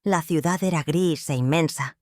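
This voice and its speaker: Brief: older woman, first-person storyteller, solemn and measured, dry recording. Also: low-pitched, darkish tone, no room sound. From Michoacán, Mexico. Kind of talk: scripted first-person narration.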